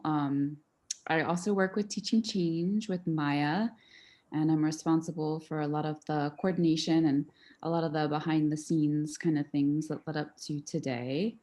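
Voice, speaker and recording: Deep-voiced young woman introducing herself. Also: steady tone, moderate pace, a little mellow. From New Jersey, United States. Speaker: program coordinator, panelist. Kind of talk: informal panel introduction.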